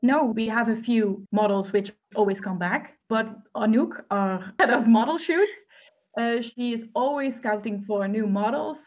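A woman chats as a guest, relaxed and plain-spoken with a few fillers. Her voice sits a bit high, and the sound is slightly dull and lo-fi. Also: unhurried. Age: 20s. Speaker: photographer guest. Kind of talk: casual interview chat.

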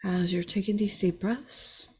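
A woman leading a slow guided meditation in a soft, breathy voice that often drops to a whisper, with long pauses. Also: medium pitch, fairly even intonation, a little hiss or hum. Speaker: guided meditation leader and channeler.

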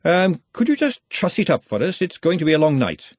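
A man's voice: fairly high pitch, huge pitch swings, a little fast, no room sound, voice pushed up. A man voicing a practical, guarded working woman, a cook, in an audiobook. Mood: uneasy, guarded. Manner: firm, plain-spoken.

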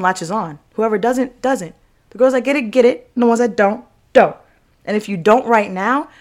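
A young American woman hosting a podcast, giving a casual motivational monologue in a candid, emphatic, slangy way with swearing and repeated phrases. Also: medium pitch. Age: young adult. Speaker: podcast host.

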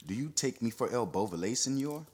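A man reading an audiobook, voicing a cool gentleman pirate who sounds careless and amused. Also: mid-range voice, animated, a little fast, breathy edge, voice pushed up. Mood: mocking.

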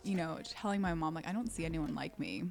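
A young woman with a fairly low voice talks in a relaxed, chatty way, filling her sentences with "like" and laughing easily. Korean was her first language. Faint music plays underneath. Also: fast talker, vocal fry. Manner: chatty, many "like" fillers, laughs often. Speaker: podcast guest. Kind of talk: casual conversation.

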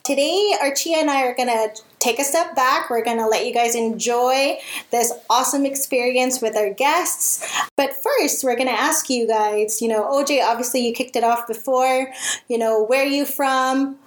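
A woman co-hosts a podcast, asking questions in an encouraging, casual way. Her voice sits slightly high, and the room gives it a clear echo. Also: moderate pace. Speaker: podcast co-host. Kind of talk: casual interviewing. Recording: echoey room.

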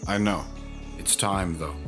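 A man playing a gruff, sardonic farm brother with dry deadpan delivery in an audio drama. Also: sits low, unhurried, music in the background. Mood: wry, matter-of-fact.

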